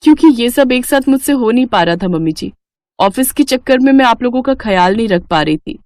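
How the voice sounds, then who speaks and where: Woman acting as young daughter-in-law, lifted pitch, meek, respectful, dutiful, family storybook dialogue, dry recording. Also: animated, a little fast, darkish tone, no room sound, lightly acted. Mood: earnest.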